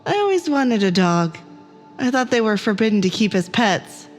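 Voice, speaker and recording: A woman's voice: medium pitch, big pitch swings, normal speed, soft background music, lightly acted. A woman playing an anxious, headstrong academic on a space crew in an audio drama, sweary and argumentative. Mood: playful, wry.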